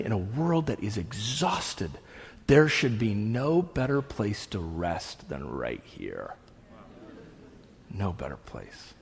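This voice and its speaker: A man in his thirties, a pastor preaching a sermon to a room, conversational with asides, swinging from loud bursts to hushed lines. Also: medium pitch, husky. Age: 30s. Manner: conversational with frequent restarts and asides. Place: church hall with an audience. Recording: long reverb of a large hall.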